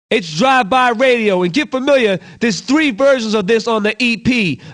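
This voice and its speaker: A man's voice: very high-pitched, fairly bright tone, no echo, studio quality. A man performing as a brash, hyped-up hip-hop radio DJ, announcing in punchy, slangy, promotional patter. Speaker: radio DJ host.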